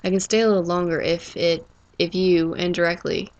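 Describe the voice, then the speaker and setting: A woman reading the lines of a plump, gentle, slow bear from a storybook, in a soft voice. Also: on the low side, little pitch movement, lo-fi sound, natural voice. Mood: polite.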